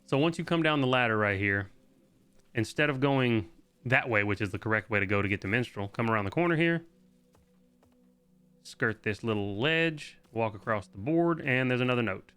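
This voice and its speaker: Guy doing a casual game walkthrough, bright forceful voice, kinda sweary, mid pitch. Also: expressive, moderate pace, smooth. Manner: informal, swears freely. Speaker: video game guide host. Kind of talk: casual gameplay walkthrough commentary.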